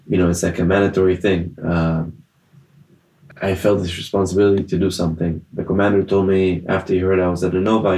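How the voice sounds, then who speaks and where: Low-voiced young man, earnest, echoey room. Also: a little mellow. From Israel. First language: Italian. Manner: earnest, many fillers and restarts. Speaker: guest, festival survivor. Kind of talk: personal testimony in interview.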